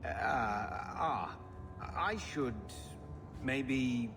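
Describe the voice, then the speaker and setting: A man's voice: mid-range voice, animated, very slow, in a large hall, background noise. An actor playing a shifty, bitter middle-aged uncle down on his luck, grumbling and self-pitying, in a video game drama.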